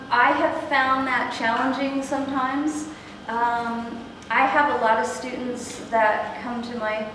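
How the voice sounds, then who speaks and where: A middle-aged woman leading an interactive workshop, speaking with frequent ums and checking in with the room. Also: a little high. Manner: upbeat, many ums, asks the room questions. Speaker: workshop instructor. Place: large meeting room with projector. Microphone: far camera, no mic. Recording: echoey room, distant, noisy.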